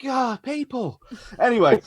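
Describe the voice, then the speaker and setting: A man's voice: very high voice, very animated, measured pace, breathy edge, dry recording. A man hosting a casual live stream as announcer, chatty and rambling as he introduces things. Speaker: host and announcer. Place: online group call.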